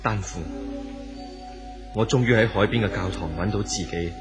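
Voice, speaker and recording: Echoey, low-voiced lovelorn man played by an actor. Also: moderate pace, close to an everyday voice. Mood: wistful, confessional. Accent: Hong Kong Cantonese. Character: lovelorn man reading his farewell letter, earnest and devoted. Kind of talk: 1970s Cantonese radio drama monologue. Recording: echoey 1970s radio drama, music underneath. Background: music.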